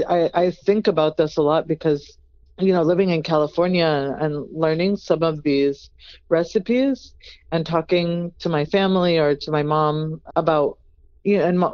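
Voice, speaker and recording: An earnest chef talks through a long interview answer with thoughtful pauses and fillers. The voice is smooth and clear. The recording sounds a little dull and lo-fi. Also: medium pitch, a little mellow. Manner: earnest, thoughtful, frequent "you know" fillers.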